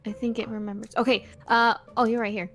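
A woman's voice: on the high side, flat delivery, quick pace, a bit bright, lightly acted. A woman playing an easygoing, reassuring tinkerer in an improvised Minecraft roleplay, speaking casually. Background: background music.

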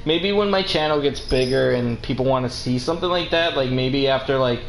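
A man's voice: mid-range voice, a little fast, creaky. A man streaming a game, chatting casually and jokingly and reading dialogue aloud as an amateur. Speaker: streamer voicing game cutscenes. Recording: roomy echo, faint background noise.

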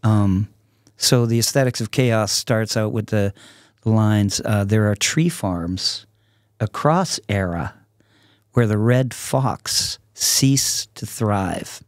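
A man in his sixties speaking casually and reflectively as a podcast guest, in a halting, thoughtful way. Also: lowish voice, dry recording, studio quality. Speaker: podcast guest, artist and songwriter. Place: small podcast studio with curtains. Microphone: close podcast microphone on a stand.

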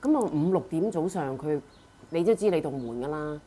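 A female podcast co-host speaks in a low register with a Hong Kong Cantonese accent. She chats informally about her own experiences, with faint ambient noise on the recording. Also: animated, fairly quick, dark tone. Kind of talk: casual chat, personal anecdotes.

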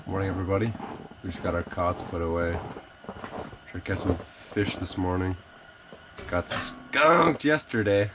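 A man's voice: sits low, normal speed, pauses often. Young North Dakotan outdoorsman, easygoing jokey vlog chatter, noisy camera audio, tent. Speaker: vlogger. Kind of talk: casual outdoor vlog chat. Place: inside an ice fishing tent.

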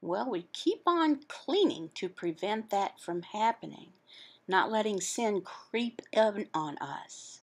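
A woman voicing an interpreted sermon as explanatory teaching, in short, halting phrases with pauses between them. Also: mid-range voice, lively intonation.

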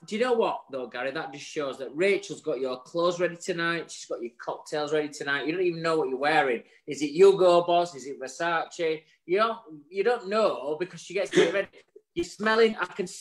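A man in his forties co-hosts a chat show from home over a video call. His voice sits a bit high, and his talk is chatty and jokey, with loose banter and the odd swear word. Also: a little fast. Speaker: co-host. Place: home room with virtual bar backdrop. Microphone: video call webcam.

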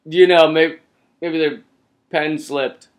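A high-voiced American man hosts a comedy rant to camera. He speaks with biting sarcasm and heavy emphasis, like someone delivering a satirical monologue. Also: steady tone, slow pace, background noise. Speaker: host of a satirical political comedy web show.

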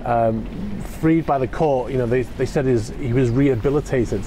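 A man's voice: medium pitch, moderate pace, noisy background. A man in his forties talking casually into a phone held at arm's length on a cold marina dock, rambling with lots of fillers and restarts. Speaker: yacht vlogger. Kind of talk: casual walk-and-talk vlog. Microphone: phone held at arm's length, selfie framing.

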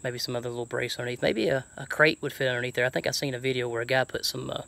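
A middle-aged man with a Southern American accent talks casually into a handheld phone. He has a folksy way of thinking out loud as he works, at an average pitch for a man. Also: level tone, rapid-fire, gravelly. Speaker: truck camping vlogger. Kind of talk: casual DIY chat to camera. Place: outdoors and inside a truck bed by an open garage. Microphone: handheld phone at arm's length.

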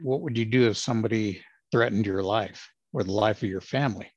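A man in his sixties, a retired ranger, telling his stories in a measured, plain-spoken way with many fillers. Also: fairly low pitch, darkish tone, no room sound, lo-fi sound. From Los Angeles, United States. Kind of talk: casual interview storytelling.

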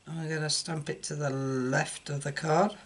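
An older woman giving an unscripted card-making demonstration, haltingly thinking aloud as she works at a table indoors. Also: low voice, flat delivery. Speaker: craft tutorial host. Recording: clear background noise, clicks.

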